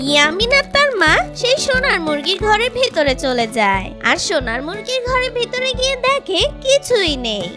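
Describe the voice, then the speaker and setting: Very high, animated children's story narrator. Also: moderate pace, few pauses, loud music behind the voice.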